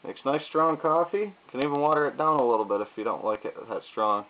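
A man casually talking through camping coffee methods at a table indoors, pausing often with "uh" and "um". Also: medium pitch, animated. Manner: plain, unhurried, frequent "uh" and "um" pauses. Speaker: YouTube vlogger. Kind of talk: casual gear demonstration. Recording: tinny lo-fi audio with faint hiss.

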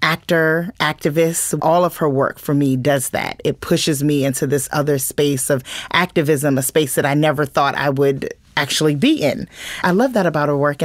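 A woman's voice: deep voice, moderate pace, no echo. A woman guest giving reflective answers in an interview about her work.

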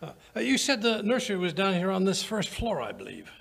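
A man's voice: high voice, wildly swooping pitch, brisk, vocal fry, fairly bright tone, heavily acted. An older man playing an earnest, bumbling doctor sidekick in an old-time radio mystery, with a hesitant, sputtering manner. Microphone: stand microphone.

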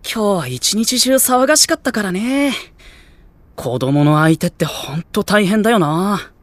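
High-pitched, mild teenage boy. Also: animated, a little slow, no room sound. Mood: weary, easygoing. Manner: mild, casual, flustered when put on the spot. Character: easygoing, weary, easily flustered teenage boy. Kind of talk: Japanese anime audio drama acting. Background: music.

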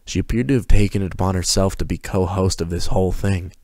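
Deep voiced guy narrating a story, dramatic reading, dry close recording. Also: steady tone, quick pace, darkish tone, no room sound. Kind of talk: dramatic reading of prose fiction.

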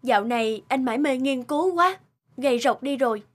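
A woman voicing a gentle, supportive young woman in a cartoon, soothing and caring. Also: high voice, brisk. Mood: admiring. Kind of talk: dubbed cartoon acting. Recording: loud music over the voice.

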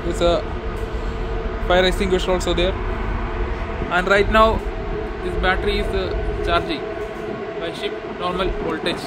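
A young man vlogging a work tour of a ship's battery room, explaining plainly with filler pauses, recorded on a handheld phone. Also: high voice, level tone, heavy background noise. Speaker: ship electrician vlogger. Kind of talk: casual work-tour vlog. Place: indoor ship battery room, hard walls.

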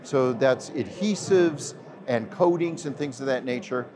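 Older interviewer amid trade fair chatter. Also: male voice, mid-range voice. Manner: drawn-out, meandering questions. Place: busy trade fair hall, indoors. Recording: crowd noise of a trade fair. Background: crowd chatter.

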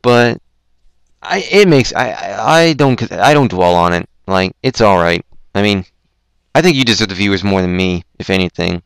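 A young man hosting a casual online show, rambling and self-deprecating, with lots of stumbling restarts and a throat that is going hoarse. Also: lowish voice, expressive, normal speed, no room sound. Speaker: podcast host. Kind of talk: casual chat on a Skype call.

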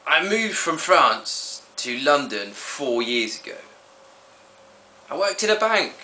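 A man playing a wretched man ruined by drink, giving a halting, rueful confession in a mock documentary interview. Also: mid-range voice, bright, forceful tone, far from the mic, heavily acted, poor audio. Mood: wistful, rueful.

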